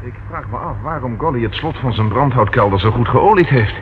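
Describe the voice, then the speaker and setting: An actor playing a brisk, commanding and suspicious police inspector in a radio play, giving clipped orders and probing questions. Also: male voice, mid-range voice, lively intonation, narrow, tinny audio, close to an everyday voice. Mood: stern, probing. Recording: noisy old radio drama recording.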